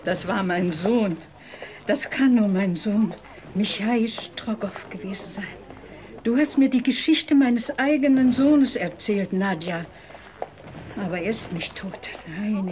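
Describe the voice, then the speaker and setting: A woman's voice: mid-range voice, a little slow, darkish tone, lightly acted. An actress in a German audio drama playing a devout, steadfast old mother who speaks solemnly. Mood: moved, proud.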